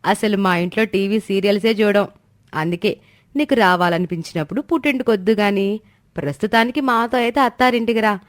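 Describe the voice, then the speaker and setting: A woman's voice: mid-range voice, a little fast, no room sound, studio quality, natural voice. A woman voicing mischievous young women of a village family in dramatic, chatty story dialogue. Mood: reassuring, playful. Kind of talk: animated moral story dialogue.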